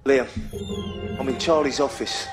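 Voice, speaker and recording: A man's voice: mid-range voice, steady tone, measured pace, over loud music, natural voice. An actor playing an urgent, secretive man searching a gang hideout in a video game, matey in manner. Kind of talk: video game cutscene acting.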